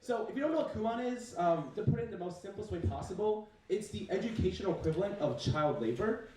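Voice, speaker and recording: Lively young man doing stand-up. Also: high voice, fast talker, very echoey, far from the mic, a little hiss or hum. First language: Chinese. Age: young adult. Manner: casual, swearing, filler-heavy, self-mocking. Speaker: stand-up comedian. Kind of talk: stand-up comedy set. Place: university comedy stage.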